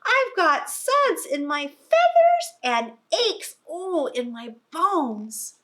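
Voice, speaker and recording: A grumbling farm duck from a picture book, voiced by a woman reading aloud. Its voice is pitched high above an ordinary voice and projected loudly. It whines about its aches in a slow, dragging way. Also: big pitch swings, full character voice. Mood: complaining. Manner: high, loud, complaining. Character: moaning, achy farm duck.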